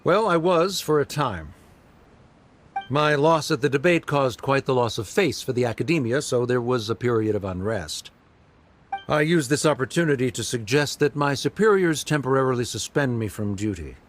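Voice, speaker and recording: A man's voice: mid-range voice, expressive, normal speed, slight background noise, lightly acted. An actor playing a proud but caring middle-aged scholar father in a video game, speaking formally and a little stiffly. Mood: composed, formal. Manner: formal, stiff, hesitant, precise wording.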